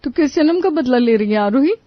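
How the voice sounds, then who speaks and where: An exasperated complainer, played by a woman grumbles bluntly and dramatically in a high voice. The comic family tale has a dry, slightly dull recording. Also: lively intonation, fairly quick, no room sound. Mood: appalled.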